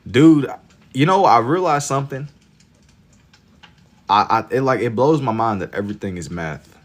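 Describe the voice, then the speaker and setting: A man in his twenties hosting a livestream, chatting casually with viewers in laid-back slang and getting loud and laughing when teased. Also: medium pitch, animated, moderate pace. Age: 20s. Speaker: livestream host. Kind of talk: casual chat with viewers while drawing.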